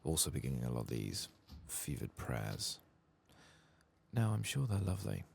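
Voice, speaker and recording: A soft-spoken man murmuring ASMR commentary as he plays a video game. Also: extremely low pitch, very animated, moderate pace, gravelly, a little hiss or hum. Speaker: ASMR gaming streamer.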